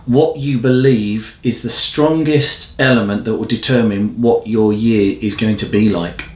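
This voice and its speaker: A man's voice: fairly low pitch, measured pace, darkish tone. A man co-hosting an informal church news update in a conversational, reflective manner. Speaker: church newsreel co-host. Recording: echoey room sound.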